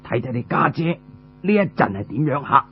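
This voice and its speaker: A man voicing an elderly army commander in an audiobook tale, a slow, halting, paternal father figure. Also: a little high, very animated, highs cut off, a little hiss or hum. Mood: paternal, weighty. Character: elderly male army commander, weighty, privately grumbling father.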